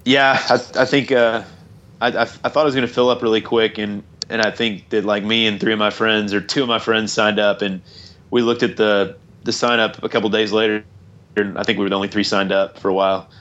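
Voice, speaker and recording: A man's voice: fairly low pitch, little pitch movement, brisk, a bit bright, dull audio. A man in his late thirties from Tennessee, a dirt bike racer talking as an interview guest, modest and plain-spoken, often repeating words as he starts sentences. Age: late 30s.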